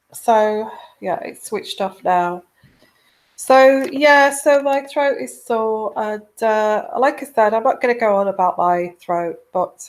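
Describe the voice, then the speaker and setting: A woman hosts a live intuitive reading stream, her voice quiet and strained by a sore throat. She talks slowly and unhurriedly, stumbling through fillers and restarts as she greets viewers. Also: mid-range voice. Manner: hesitant, many ums and restarts. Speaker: host of a live tarot reading show. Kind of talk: casual live-stream chat.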